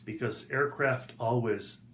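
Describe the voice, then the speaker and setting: Male instructor, unhurried delivery with careful enunciation, explanatory lesson style, roomy recording with noticeable background noise. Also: medium pitch, fairly bright tone. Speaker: English teacher. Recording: echoey room with background noise.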